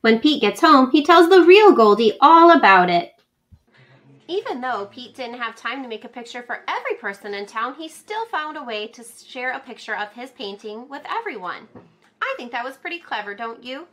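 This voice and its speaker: Lively high-voiced woman reading to kids. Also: normal speed. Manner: gentle, animated reading with teacherly asides to children. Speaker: school librarian reading aloud. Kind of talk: children's read-aloud storytelling. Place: home.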